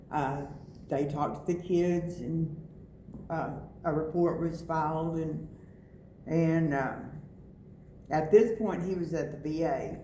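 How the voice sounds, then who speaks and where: A middle-aged woman giving a personal testimony, halting with many fillers and restarts. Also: low voice, dark tone, a little hiss or hum. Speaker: testimony speaker. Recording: echoey room, voice from far off.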